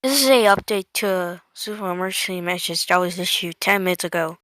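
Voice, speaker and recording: A woman's voice: fairly low pitch, fairly quick, a bit bright, no room sound. A woman reading scripted emergency broadcast announcements in a formal, stilted manner. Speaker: emergency alert announcer and news anchor.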